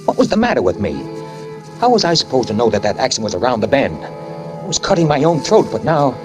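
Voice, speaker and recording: A man's voice: mid-range voice, very animated, fast, lightly acted. An actor playing a guilt-ridden male hit-and-run driver who narrates tensely in the first person, in a 1940s radio drama. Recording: old radio broadcast with music.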